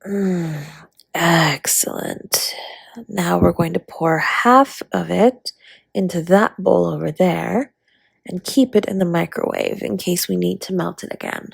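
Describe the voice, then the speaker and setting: Husky, slow, flirty dragon baker spouse, played by a woman. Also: fairly low pitch, dry recording, heavily acted. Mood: playful, instructive. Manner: breathy, teasing, drawn-out, often dropping to a whisper. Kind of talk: intimate romantic audio roleplay addressed to listener.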